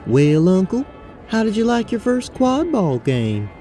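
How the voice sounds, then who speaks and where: A man's voice: sits high, big pitch swings, measured pace, mellow, soft background music. A man voicing a polite, prim young female student in a fantasy audio drama. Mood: cheerful, polite.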